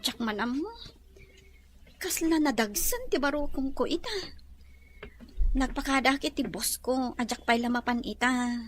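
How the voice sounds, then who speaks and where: A woman's voice: high voice, animated, measured pace, pauses often, faint music behind. A woman with an Ilocano accent playing an exhausted, overworked employee in a radio drama. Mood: weary.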